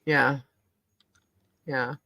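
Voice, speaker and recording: A middle-aged American woman with a husky, deep-set voice that still cuts through brightly. She chats casually and bluntly, acting out bits of dialogue as she rambles through anecdotes. The sound is close and dry. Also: steady tone, very slow, dry recording. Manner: blunt, slangy, animatedly quotes herself and others. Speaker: host of a live panel stream.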